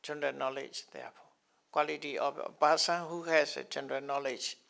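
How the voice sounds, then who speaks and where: An older man who is not a native English speaker teaches scriptural terms to a class in a halting way, repeating words and chuckling often. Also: fairly high pitch, steady tone, vocal fry, a bit bright. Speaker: Buddhist teacher.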